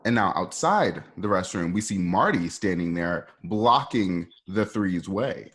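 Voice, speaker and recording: A man's voice: deep voice, expressive, unhurried, a bit bright. A man casually narrating stage directions for a script read, in a conversational style.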